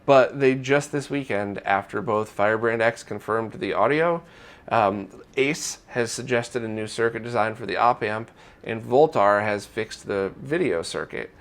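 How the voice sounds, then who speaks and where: A man's voice: fairly low pitch, unhurried. A man hosting a retro gaming news roundup, talking casually and candidly, giving his own opinions in a conversational way.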